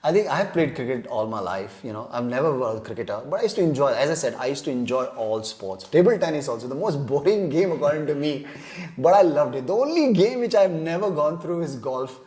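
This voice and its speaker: A man's voice: fairly high pitch, lively intonation, brisk, a little mellow. A man, a guest telling rambling jokes in a casual chat and laughing often. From India. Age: 30s. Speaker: podcast guest.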